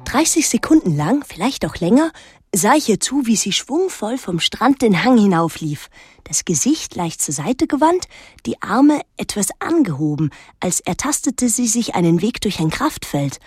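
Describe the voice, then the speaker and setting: Husky young woman, introspective storyteller. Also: medium pitch, sing-song, moderate pace, a little mellow, dry recording, clean studio sound. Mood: absorbed, puzzled. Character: reflective eighteen-year-old daughter telling her own story. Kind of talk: literary radio drama narration and dialogue.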